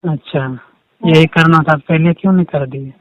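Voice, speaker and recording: A man's voice: fairly high pitch, fairly even intonation, normal speed, noisy background. Tinny phone voice, pleading young man. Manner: pleading, insistent. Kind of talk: private phone conversation. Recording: narrow, tinny phone-call recording.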